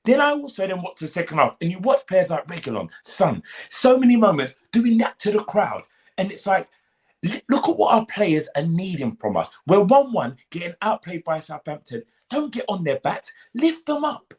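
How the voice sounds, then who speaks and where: Emphatic man with a lively voice. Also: high-pitched, moderate pace. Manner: emphatic, rallying, speech-like. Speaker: fan guest. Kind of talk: casual podcast chat.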